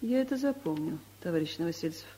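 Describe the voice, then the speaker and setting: A woman's voice: on the low side, expressive, quick pace, warm tone, lightly acted. An actress playing a stern, formal, reserved female boss in a vintage radio play. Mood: cold, formal. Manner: formal, curt, authoritative. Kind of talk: Soviet radio play acting. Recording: noisy vintage 1970s radio recording.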